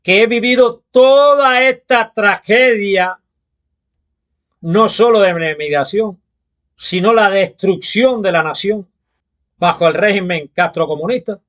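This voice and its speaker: A Cuban man with a high-pitched voice speaks as a political commentator. He delivers his opinion monologue slowly and deliberately, stressing his points with emphasis. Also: a bit bright. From Cuba.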